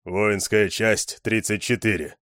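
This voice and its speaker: A man voicing a stout, taciturn male soldier who grumbles in short, slow phrases. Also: fairly low pitch, fairly even intonation, fairly bright tone, no room sound, clean studio sound. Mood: grumbling. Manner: grumbling, terse.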